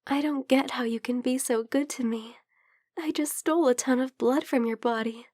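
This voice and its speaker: A woman playing a shy, lovestruck young female vampire in an intimate ASMR roleplay, speaking softly and hesitantly, often slipping into whispers. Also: high-pitched, fairly even intonation, normal speed, no room sound, studio quality. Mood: dazed, blissful. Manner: hesitant, soft, breathy murmuring that slips into whispers.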